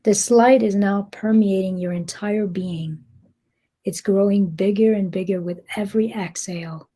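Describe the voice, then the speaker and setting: Slow, breathy woman guiding a meditation. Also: mid-range voice, steady tone. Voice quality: soft, breathy. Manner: gentle, deliberate, with long pauses. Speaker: meditation guide. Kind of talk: guided meditation, slow soothing narration.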